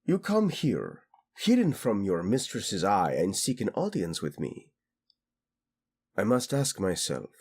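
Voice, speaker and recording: Courtly prince, male voice actor: slow, husky, imperious yet teasing, romantic audio drama over music. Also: fairly low pitch, darkish tone, heavily acted. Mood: haughty, suspicious. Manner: formal, deliberate speech sprinkled with Spanish. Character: haughty, teasing Spanish-speaking fantasy prince, courtly and imperious. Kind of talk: scripted romantic audio roleplay.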